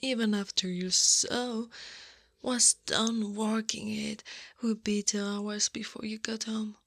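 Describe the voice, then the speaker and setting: A woman playing a knowing female Krampus monster in an intimate ASMR roleplay, firm yet tenderly comforting, in a soft voice that often drops to a whisper. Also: medium pitch, flat delivery, creaky, no echo, heavily acted. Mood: gentle, knowing. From Italy. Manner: slow, gentle, deliberate coaxing. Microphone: close-up microphone.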